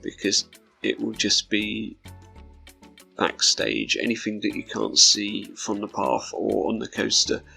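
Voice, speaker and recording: Hoarse, gravelly man commentating. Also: lowish voice, sharp tone. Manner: rambling, laid-back, with frequent ums and small laughs. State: hoarse, croaky voice. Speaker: gameplay commentator. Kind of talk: relaxed build commentary over gameplay.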